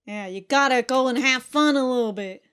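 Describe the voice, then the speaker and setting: Higher-voiced woman giving casual reaction commentary. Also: normal speed, a bit bright, clean tone. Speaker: host of an anime reaction show.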